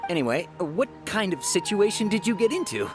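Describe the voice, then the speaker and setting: An excitable, self-assured fortune-teller for a video game. His voice sits a bit high and swings widely with theatrical expression. Music is clearly audible behind him. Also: a little fast. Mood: flustered.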